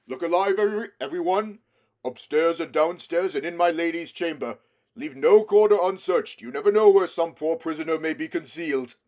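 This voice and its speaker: A man's voice: on the high side, expressive, moderate pace, darkish tone, pitched above the performer's own voice, natural voice. A man voicing a joyous, commanding great lion for a storybook, calling out in a rousing manner. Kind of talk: storybook character voice.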